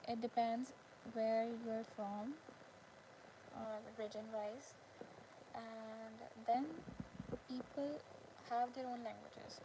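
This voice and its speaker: A woman hosting a casual craft livestream, chatting with viewers in short, halting phrases with pauses. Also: fairly high pitch. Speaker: live stream host, crocheter. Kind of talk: casual livestream chat with viewers, showing handmade work. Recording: clearly noisy background ambience.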